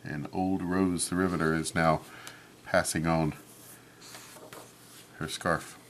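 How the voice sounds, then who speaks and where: Low-voiced man reading a picture book. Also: level tone, takes it slowly, fairly bright tone, slight background noise. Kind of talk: children's story read-aloud with page commentary.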